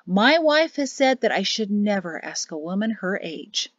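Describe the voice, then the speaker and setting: A woman's voice: mid-range voice, animated, dry recording, dull audio, lightly acted. Woman voicing a courteous, commanding male army officer. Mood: grave, measured. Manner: measured, polite, grave. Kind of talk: acted audiobook dialogue.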